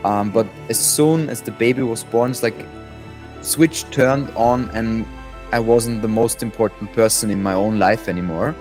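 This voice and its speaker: A man's voice: on the low side, moderate pace, a little mellow, faint music behind. A young adult man from Austria with an Austrian accent, chatting casually as a podcast guest and often repeating words as he thinks.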